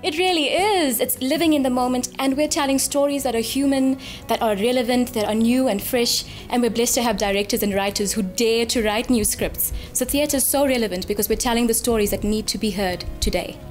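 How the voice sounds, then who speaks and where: Young drama teacher, woman, higher voice, really emphatic, music under it. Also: fairly quick, few pauses. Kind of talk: interview answer. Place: theatre stage.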